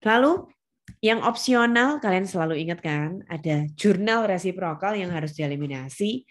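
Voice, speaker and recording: A woman's voice: on the low side, expressive, moderate pace. A woman lecturing to students, explaining step by step with emphasis on key words and checking that they follow. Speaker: accounting lecturer. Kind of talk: spoken lesson with questions to students.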